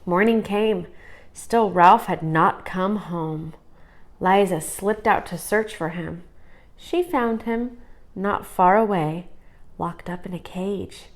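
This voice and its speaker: A woman's voice: lowish voice, slow. Woman reading a children's story aloud. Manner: expressive read-aloud storytelling. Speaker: storybook reader. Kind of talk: scripted children's story narration.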